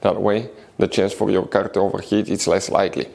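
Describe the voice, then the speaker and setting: A man's voice: low-pitched, little pitch movement, fairly quick, vocal fry, a little mellow. A man hosting a car repair tutorial, giving step-by-step how-to narration and often addressing viewers as guys. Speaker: car repair video host.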